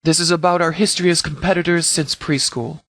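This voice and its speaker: A man playing a commanding sheriff in a video game, speaking formally and deliberately as he briefs subordinates. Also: a little high, steady tone, fairly bright tone, no room sound. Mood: grave, strategic. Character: commanding, measured sheriff leading an armed department.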